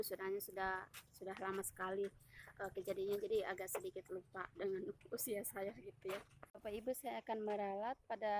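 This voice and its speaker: A woman in her thirties from Indonesia, hosting her own channel and telling a personal testimony in a casual, halting way, with many fillers and self-corrections as she speaks to viewers. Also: medium pitch, a little hiss or hum. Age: 30s.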